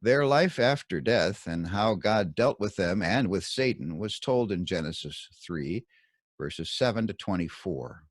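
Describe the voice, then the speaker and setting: A man reading his own scripted Bible commentary as narration, in measured, deliberate short phrases. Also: fairly low pitch, no room sound, clean studio sound.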